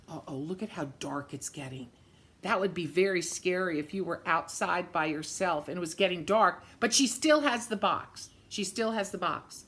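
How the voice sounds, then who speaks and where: A woman reading a children's story aloud in an expressive, dramatic way, with chatty asides spoken directly to young listeners. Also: medium pitch. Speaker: story-time reader for children.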